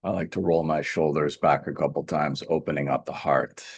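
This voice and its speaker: A man leading a guided meditation as a teacher, speaking in a calm, gentle, deliberate way with pauses. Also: extremely low pitch, vocal fry, breathy edge, no room sound.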